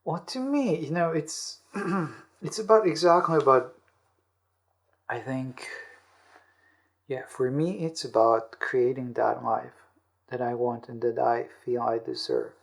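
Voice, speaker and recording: Creaky-voiced man explaining self-help ideas. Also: medium pitch, expressive, slow, pauses often. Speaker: podcast host and author being interviewed.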